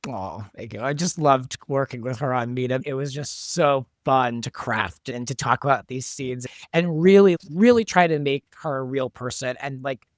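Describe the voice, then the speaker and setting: A man's voice: mid-range voice, normal speed, hardly any pauses, no echo, studio quality. A man chatting casually on a podcast, effusive and emphatic, repeating words for stress.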